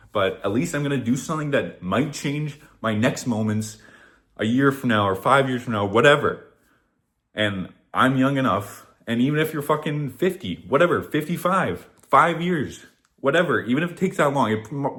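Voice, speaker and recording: A man's voice: mid-range voice, a bit bright. A man in his thirties giving a casual, rambling motivational talk to camera, swearing often and shrugging things off with "whatever.". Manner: rambling, profane, repeats "whatever". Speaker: vlogger.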